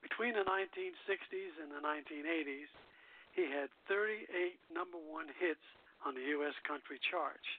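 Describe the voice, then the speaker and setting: A man's voice: a little high, normal speed. A man hosting a radio show, reading scripted introductions and narration. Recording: phone-like band-limited call-in line.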